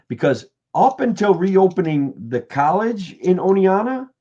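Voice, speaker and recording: A man with a middling pitch and a voice worn hoarse, rambling casually to his viewers and peppering every thought with "guys" and "okay." He talks like a candidate chatting on a late-night stream. The audio is dull and lo-fi. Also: a little mellow. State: voice getting hoarse. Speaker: political candidate livestreaming. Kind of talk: casual livestream talk to viewers.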